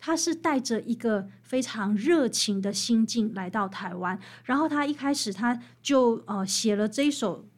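A woman with a Taiwanese Mandarin accent, explaining things as an interviewed author in an animated, emphatic storytelling manner. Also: fairly high pitch, moderate pace, hardly any pauses. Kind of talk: expressive explanatory interview talk.

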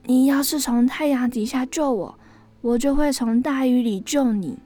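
Woman as a gentle little talking snake, raised pitch. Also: moderate pace, faint music behind. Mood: gentle, reassuring. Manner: soft-spoken, earnest. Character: small talking snake, grateful, gentle, protective.

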